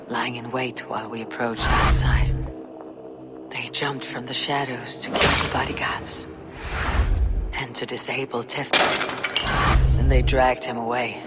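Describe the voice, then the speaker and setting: An actor voicing a calm, thoughtful Viking warrior who murmurs clues to himself in a video game. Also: male voice, mid-range voice, lightly acted. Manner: measured, muttering thoughts half-whispered. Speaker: game protagonist. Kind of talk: video game cutscene acting. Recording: game audio with music.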